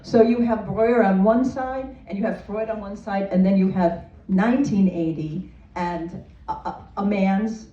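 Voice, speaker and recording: Mellow-voiced woman playwright answering questions. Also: medium pitch, unhurried. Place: theater auditorium. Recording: echoey, distant, dull room recording.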